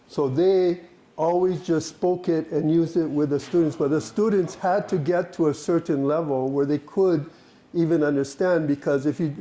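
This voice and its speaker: A man's voice: fairly high pitch, mellow. A man leading a workshop session, talking animatedly, laughing and asking the group questions. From Hawaii. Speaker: workshop presenter. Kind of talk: interactive workshop talk.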